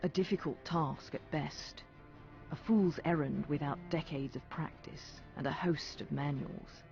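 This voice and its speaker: A man acting as a long-lived storyteller, narrating a young girl's tale in a measured, wistful, literary manner for a game. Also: a little high, gravelly. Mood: solemn, wistful. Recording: dull audio under loud music.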